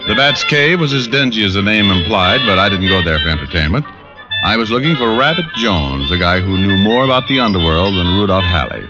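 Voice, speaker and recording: An actor playing a cocky, wisecracking private detective, bantering and narrating in a glib, sardonic way in an old radio drama. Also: male voice, on the low side, lively intonation, fairly quick, natural voice. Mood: wry. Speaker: lead and narrator. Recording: dull old radio recording with music.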